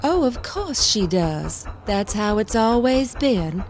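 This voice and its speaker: An actress playing a scheming, spiteful younger sister in an audio drama, sly, flirtatious and taunting. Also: female voice, mid-range voice, big pitch swings, slow pace, darkish tone, a little hiss or hum.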